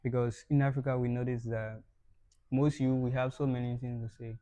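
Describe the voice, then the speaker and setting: A man's voice: medium pitch, level tone, fairly quick, warm tone, no echo, dull audio. A young man hosting a podcast interview in a casual way. He laughs often and repeats words when he starts a sentence. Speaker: podcast host.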